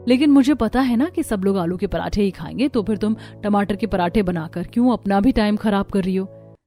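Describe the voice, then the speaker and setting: Woman doing a young bahu for a cartoon, chatty n confident, high voice, faint music. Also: natural voice. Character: young daughters-in-law, chatty and self-assured. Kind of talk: Hindi cartoon story acting.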